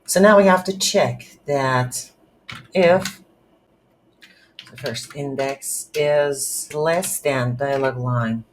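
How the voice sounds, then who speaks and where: Slow, halting coding tutor with background noise. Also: medium pitch. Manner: halting, thinking aloud while typing. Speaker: game development tutorial instructor. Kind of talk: unscripted coding tutorial narration. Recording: noisy room with keyboard typing.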